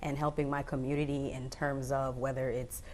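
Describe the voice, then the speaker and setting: A woman from Jamaica, a state delegate, answering interview questions in an earnest, measured way. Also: very deep voice, flat delivery.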